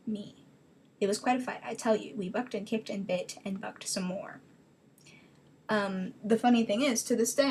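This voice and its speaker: A woman's voice: medium pitch, a little mellow, breathy edge. A woman giving a dramatic reading of her own childhood story, rambling into self-mocking casual asides.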